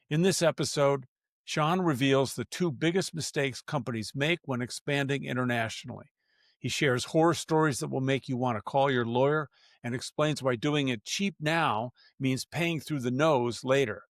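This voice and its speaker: A man's voice: mid-range voice, dry recording, studio quality. A man hosting a podcast interview, talking in a loose, rambling way with frequent restarts. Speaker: podcast host.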